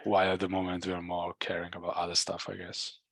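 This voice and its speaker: A man in a casual technical work discussion, thinking aloud haltingly and often repeating words as he reasons. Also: low-pitched, monotone, vocal fry, dry recording, clean studio sound. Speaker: software engineer in a team meeting. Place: work call, close dry sound.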